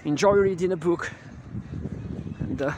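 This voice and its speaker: A man in his thirties guiding a casual walking tour on a quiet residential street, talking with many fillers and restarts into a handheld camera mic. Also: fairly high pitch, a little slow. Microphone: handheld camera mic while walking. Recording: clear outdoor street noise.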